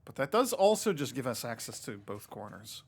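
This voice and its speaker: A man's voice: medium pitch, sing-song, moderate pace, slightly rough. A congested, sniffly man hosting a let's play at home, thinking aloud casually and reading game text out as he plays. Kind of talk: casual gameplay commentary. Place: home, indoors.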